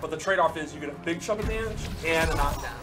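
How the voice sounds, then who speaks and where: A man with a slightly high voice walks through game moves on a live stream. He explains things in an instructive way while music plays clearly behind him. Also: quick pace. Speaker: game developer demonstrating moves. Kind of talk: live stream gameplay demonstration.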